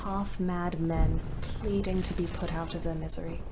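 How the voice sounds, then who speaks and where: A woman's voice: lowish voice, steady tone, moderate pace, over music, close to an everyday voice. An actress playing a young ambulance driver in an audio play, narrating her war diary with weary bitterness. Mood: grim, measured. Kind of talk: dramatic first-person audio play narration. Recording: clear background noise.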